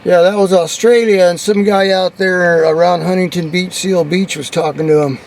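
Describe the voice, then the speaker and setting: A man's voice: high voice, steady tone, normal speed, creaky, a little mellow, a little hiss or hum, poor audio. A man giving a casual hands-on vlog demonstration, rambling and thinking aloud, in and around a parked truck. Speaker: vlogger demonstrating CB radio antennas.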